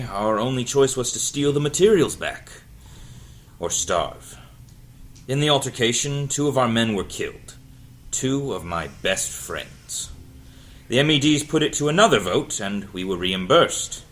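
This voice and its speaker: A man playing a grieving, embittered guild miner in an audio drama read-through, somber and halting. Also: on the low side, fairly bright tone, a little hiss or hum, close to an everyday voice. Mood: bitter grief.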